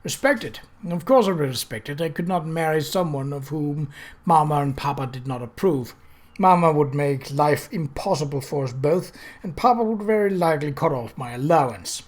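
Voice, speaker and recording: A sulky young gentleman of the gentry, acted by a male reader in a period storybook. He is wry and self-pitying, sighing through theatrical upper-class phrases in a somewhat high voice. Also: normal speed, close to an everyday voice. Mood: bitter, gloomy.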